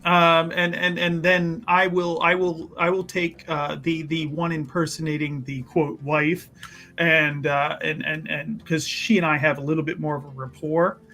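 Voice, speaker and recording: Scheming fixer, played by a tabletop gamer, mid-pitched, halting, reassuring patter, music behind. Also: little pitch movement, bright, forceful tone. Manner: hesitant, repetitive, reassuring patter. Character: scheming, persuasive, influential fixer talking an accomplice round. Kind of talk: improvised in-character tabletop roleplay. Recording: music under the voice.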